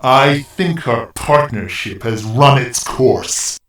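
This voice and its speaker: A man's voice: medium pitch, slow, a crowd in the background. A man playing an outraged evil robot master in an audio drama, commanding, with an echoing metallic effect. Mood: angry. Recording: echoing, distant, music behind.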